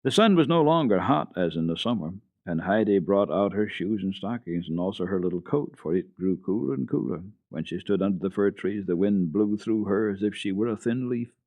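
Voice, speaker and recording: A man giving an unhurried, expressive dramatic reading of a classic children's book for radio, with the odd hesitation. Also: on the low side, no echo, dull audio. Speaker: storyteller on a children's radio program.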